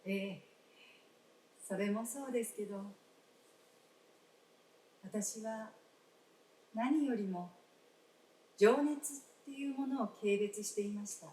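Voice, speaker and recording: Actress as a gently reproachful wife, roomy and distant. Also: female voice, mid-range voice, slow, pauses often, lightly acted. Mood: wistful. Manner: polite, old-fashioned feminine speech, gently reproachful. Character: gentle, frank dead wife speaking to her husband. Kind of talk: staged radio drama acting. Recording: roomy stage, distant mic, faint noise.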